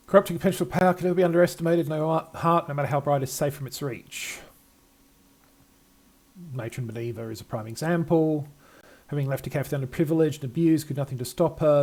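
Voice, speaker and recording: An older man giving let's-play commentary, reading game text aloud and thinking aloud in a halting way. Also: medium pitch, darkish tone. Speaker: gamer playing and narrating a game.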